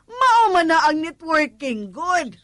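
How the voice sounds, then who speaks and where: A woman playing a skeptical, scolding mother-in-law with deliberate delivery, in a Cebuano Filipino radio drama. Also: very high voice, sing-song, a bit bright, no room sound, studio quality, exaggerated voice. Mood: explaining.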